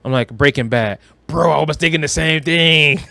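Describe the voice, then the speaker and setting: A man's voice: fairly high pitch, normal speed, gravelly, sharp tone, no room sound. A man from Detroit with an American accent, chatting casually and slangily about movies as a podcast co-host, in a joking storyteller's manner. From Detroit, United States.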